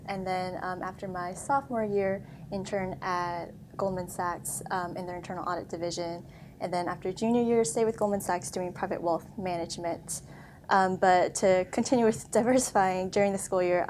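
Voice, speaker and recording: A young Texan woman in her senior year walks through her internships at an even mid pitch, pausing on occasional ums. Soft noise sits behind her. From Texas. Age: young adult. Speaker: business honors student.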